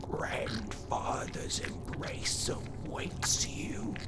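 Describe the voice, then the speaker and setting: A performer in a horror ASMR roleplay voicing a serene, sinister plague spirit that coaxes in breathy, tempting tones. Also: medium pitch, sing-song, drawn-out words, a bit bright, full character voice. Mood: sinister coaxing. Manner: breathy, seductive coaxing.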